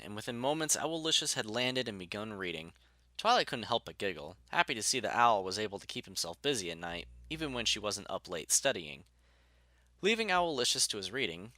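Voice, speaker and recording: A man reading scripted story narration as an audiobook narrator, in a measured, articulate way. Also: fairly low pitch, pushed and bright, no echo.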